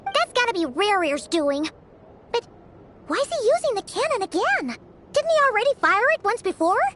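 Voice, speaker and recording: A woman voicing a small, excitable, childlike fairy companion in a video game, cheeky and squeaky. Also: normal speed, slight background noise, exaggerated voice. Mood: alarmed, defiant. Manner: exclamatory, speaks of herself in third person. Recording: music behind the voice.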